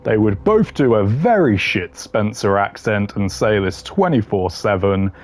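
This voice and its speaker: A man's voice: on the low side, expressive, a little slow. A man giving casual, opinionated gaming commentary in a blunt, slangy way, ranking voice lines. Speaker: gamer ranking game voice lines.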